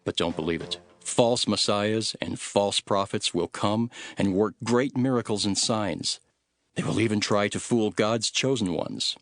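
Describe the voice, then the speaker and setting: A man reading scripture as a solemn, dramatic narration, measured and earnest, with deliberate phrasing. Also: fairly low pitch, dry recording. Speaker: Bible narrator. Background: background music.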